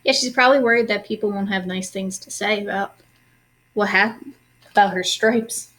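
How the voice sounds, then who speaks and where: A woman reading a children's story aloud expressively, pausing in a teacherly way to ask questions and explain to young listeners. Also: medium pitch, fairly bright tone. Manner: instructive, pauses to ask and explain.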